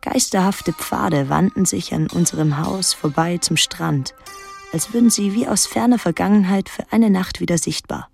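An actress playing a solitary, watchful island woman, narrating a literary radio drama in a measured, restrained and introspective way. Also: on the low side, creaky, darkish tone, faint music behind. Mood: reflective, wistful.

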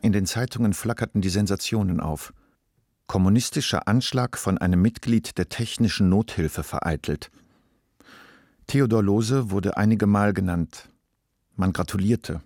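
A man's voice: fairly low pitch, normal speed, a little mellow, dry recording, clean studio sound. A man reading scripted literary narration as the narrator of a radio play.

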